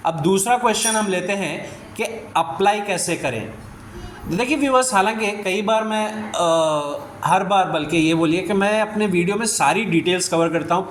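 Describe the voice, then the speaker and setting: High-pitched man explaining, echoey room. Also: a bit bright. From India. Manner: instructional, switches into English phrases. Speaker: job news channel host. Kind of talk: explainer addressed to viewers. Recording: echoey room, faint background noise.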